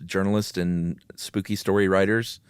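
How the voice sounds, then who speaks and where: A man's voice: deep voice, little pitch movement, moderate pace, clear, resonant tone, no echo, clean studio sound. A man hosting a podcast, moving between casual chat and dramatic reading of a spooky story. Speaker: podcast host.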